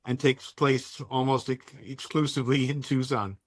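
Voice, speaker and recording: A man from Denmark whose first language is Danish talks in a casual, conversational way as an interviewed author. His voice sits at an average pitch, and the sound is very dry, with little room on it. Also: steady tone, moderate pace, dry recording.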